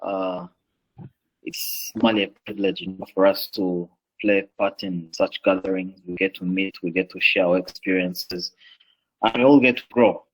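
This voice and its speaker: Low-voiced Ugandan man. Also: steady tone, normal speed, poor audio. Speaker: panelist, aviation business development manager. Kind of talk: panel self-introduction.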